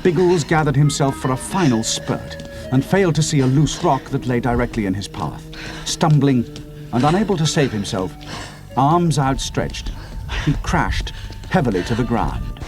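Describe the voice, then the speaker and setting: Expressive male audiobook narrator over music. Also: medium pitch. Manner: slows down for suspense, drops to hushed tones for atmosphere. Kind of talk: dramatic scripted narration. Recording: music score underneath.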